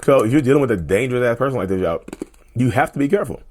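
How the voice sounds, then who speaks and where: A man hosting a casual mental health vlog, talking straight to viewers in a loose, repetitive way, his voice going a little hoarse. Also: medium pitch, animated, very fast, darkish tone. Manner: conversational, repeats himself, often addresses viewers as "y'all". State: voice getting hoarse. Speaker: mental health video host. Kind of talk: casual direct-to-camera talk.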